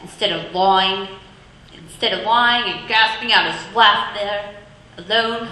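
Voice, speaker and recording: A woman's voice: mid-range voice, pushed and bright, a little hiss or hum. An actress playing a scornful charwoman selling off a dead man's goods. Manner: scornful, brisk. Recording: very roomy reverb.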